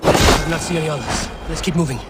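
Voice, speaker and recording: An actor playing a grave, steady Viking warrior on the hunt for a secret order in a video game cutscene. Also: male voice, fairly high pitch, quick pace, very noisy, close to an everyday voice. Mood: resolute, calm.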